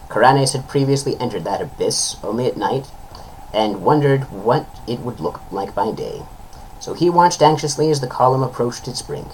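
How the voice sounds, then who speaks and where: A man's voice: medium pitch, slight background noise, low-quality recording. A man giving a solemn, formal dramatic reading of a literary tale, drawing out his phrase endings. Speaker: narrator, reader of a story.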